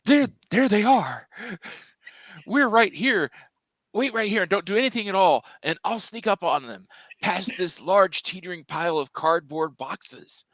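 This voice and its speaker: A man's voice: sits high, animated, a little slow, a bit bright, no echo, pitched above the performer's own voice. A man playing an earnest woman police officer in a hammy TV crime drama script reading. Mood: urgent.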